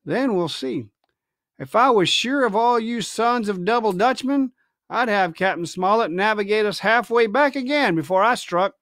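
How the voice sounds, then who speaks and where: A man's voice: high voice, lively intonation, normal speed, a bit bright, no room sound, clean studio sound. A man reading a storybook, voicing a sly, domineering pirate ship's cook with hectoring, colloquial sailor speech. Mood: commanding, scheming.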